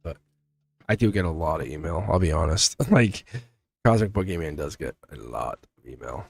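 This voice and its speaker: A man's voice: a bass voice, lively intonation, moderate pace, gravelly, husky, no echo, studio quality. Chatty upbeat man reading tarot. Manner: casual, upbeat, chatty, laughs often. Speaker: tarot reader. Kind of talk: live-stream tarot reading.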